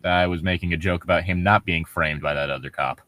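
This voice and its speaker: A man's voice: deep voice, fairly even intonation, fairly quick, bright, forceful tone, no echo. A man reading aloud casually as a comedy co-host, breaking off for sarcastic, jokey banter.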